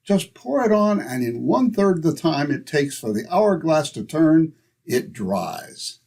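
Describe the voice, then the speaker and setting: A man's voice: on the high side, very animated, unhurried, gravelly, a little mellow, husky, full character voice. A man playing a pompous neighbor who fancies himself a Shakespearean actor, declaiming theatrically in old-time radio comedy. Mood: grandly declaiming.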